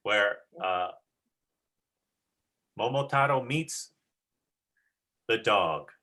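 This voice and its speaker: A drama coach with a bright, lively voice speaks at an unhurried pace. The delivery is encouraging and casual, giving practical direction over a video call. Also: mid-range voice. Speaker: drama coach leading a rehearsal. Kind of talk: casual coaching, demonstrating lines.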